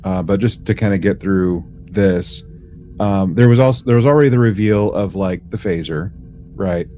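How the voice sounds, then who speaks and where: A man co-hosting a podcast, casually recapping in a halting way, as if thinking aloud. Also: deep voice, dark tone, soft background music. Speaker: podcast co-host. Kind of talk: casual chat recapping a sci-fi audio drama.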